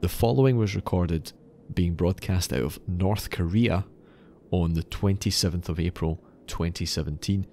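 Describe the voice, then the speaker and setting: A man's voice: sits low, moderate pace, warm tone, slight background noise. A man hosting a podcast, narrating in an explanatory, slightly wry way that moves between scripted and casual. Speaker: podcast host.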